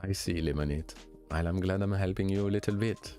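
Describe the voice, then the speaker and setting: A young man with a light French accent, a streamer chatting casually with viewers, relaxed and hesitant with many fillers and restarts. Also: sits low, fairly quick, faint music behind. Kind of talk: casual chat answering viewers.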